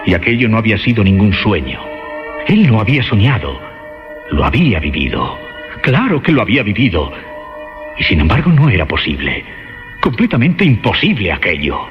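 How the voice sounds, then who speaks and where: A man narrating a horror radio drama in halting, emphatic, suspenseful phrases. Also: lowish voice, big pitch swings. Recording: dull lo-fi radio sound, loud music. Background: loud music.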